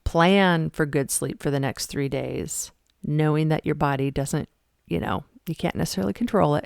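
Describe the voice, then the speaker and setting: Low-voiced, gravelly woman coach. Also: no room sound, studio quality. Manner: chatty, laughs often, emphatic. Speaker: podcast host and fitness coach. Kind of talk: casual motivational talk.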